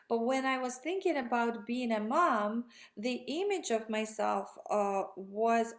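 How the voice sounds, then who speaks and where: A woman in her thirties, originally from Russia, answering interview questions in a rambling, enthusiastic way with frequent restarts. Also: a little high. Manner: rambling, self-correcting, enthusiastic. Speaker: guest. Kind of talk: conversational interview.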